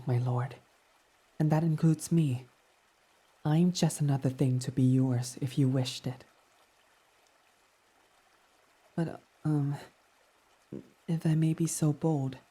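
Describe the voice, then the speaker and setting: A man voicing a devoted, submissive fox boy servant in an intimate ASMR roleplay, reverent, hesitant and breathy. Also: a little high. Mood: meek, reverent. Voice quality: soft, breathy. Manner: reverent, self-deprecating, hesitant, breathy half-whispers.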